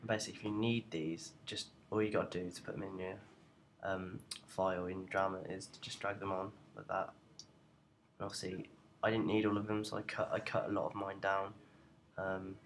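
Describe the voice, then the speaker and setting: A young man with a deep, creaky voice talks through a software tutorial. He is informal and unscripted, feeling his way hesitantly from step to step. There is light noise behind his voice. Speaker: tutorial presenter. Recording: noticeable background noise.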